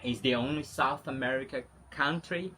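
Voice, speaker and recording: A man's voice: medium pitch, moderate pace, pushed and bright, a little hiss or hum. A man from Brazil with a Brazilian accent, speaking English as an interview guest, chatty and spontaneous with many fillers and restarts. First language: Portuguese. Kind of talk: casual interview.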